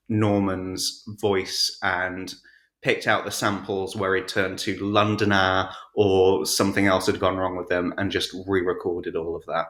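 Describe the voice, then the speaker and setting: Male interview guest, audiobook narrator. Slightly deep voice, casual storytelling delivery, noticeably roomy recording. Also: fairly bright tone. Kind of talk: casual interview, recounting his work. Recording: roomy, echoing sound.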